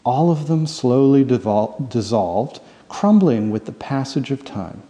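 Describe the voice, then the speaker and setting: A man reading his own fiction aloud as an author, with dramatic, expressive storytelling in an audiobook-style narration. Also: mid-range voice, unhurried. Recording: long reverberant hall-like echo.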